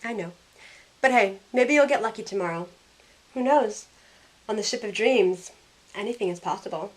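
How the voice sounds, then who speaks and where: A woman's voice: mid-range voice, normal speed, close to an everyday voice, low-quality recording. Woman playing a sarcastic young female narrator. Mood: teasing. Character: sarcastic, self-questioning young woman narrating her story. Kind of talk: first-person audio drama narration and dialogue.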